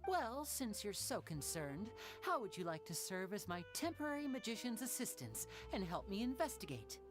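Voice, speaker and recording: A man voicing a charming, playful young stage magician in a game, smooth and theatrical. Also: high-pitched, very animated, moderate pace, over music. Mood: suave. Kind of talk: game cutscene voice acting.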